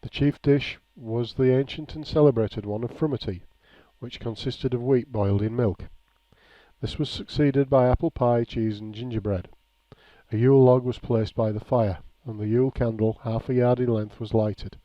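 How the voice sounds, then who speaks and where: A man reading a nostalgic written memoir aloud in a measured, plain storytelling way. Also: mid-range voice, steady tone, warm tone. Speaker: reader of a written local-history account. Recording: dull, lo-fi, echoey room.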